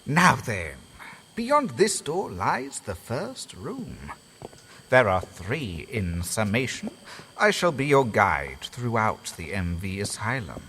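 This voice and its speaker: A man's voice: on the low side, wildly swooping pitch, slow, fairly bright tone, a little hiss or hum, exaggerated voice. A man doing a grandly sinister asylum master. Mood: sly. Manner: grandly formal, mocking, with gleeful laughs. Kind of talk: fan audio drama acting.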